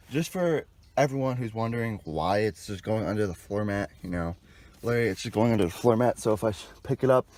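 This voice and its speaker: A man's voice: mid-range voice, a little mellow. A young adult man giving a casual, informal and rambling hands-on walkthrough as a vlogger. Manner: informal, rambling, mild swearing. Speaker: vlogger demonstrating a car radio installation. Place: in and around a parked car outdoors.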